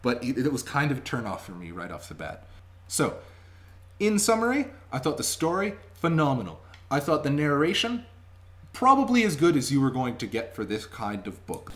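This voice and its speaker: A man's voice: medium pitch, very animated, normal speed. A young adult man talking casually and emphatically to a webcam at home, chatty and enthusiastic as he gives a review. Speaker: vlogger reviewing audiobooks. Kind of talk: casual spoken review to camera. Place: small indoor room at home. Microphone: webcam microphone at arm's length.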